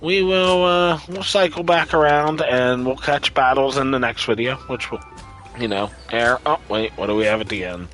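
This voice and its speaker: A man's voice: medium pitch, normal speed, fairly bright tone, soft background music. A man doing casual live gameplay commentary as a streamer, offhand and often muttering to himself. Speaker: game streamer.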